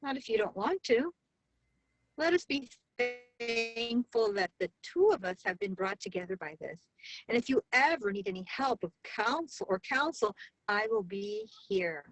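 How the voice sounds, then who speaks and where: A woman playing a solemn, compassionate artist in a staged reading, speaking deliberately as she offers counsel. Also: a little high, lively intonation, soft background music, rough recording. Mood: solemn, comforting.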